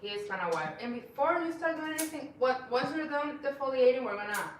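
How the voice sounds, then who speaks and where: A woman reads viewer comments aloud on a live stream, friendly and halting, pausing often with ums. She is heard from a distance in a very echoey room, with faint background noise and clicks. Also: sits high, lively intonation. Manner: hesitant, many ums, friendly. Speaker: co-host reading viewer comments. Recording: echoey room, far from mic.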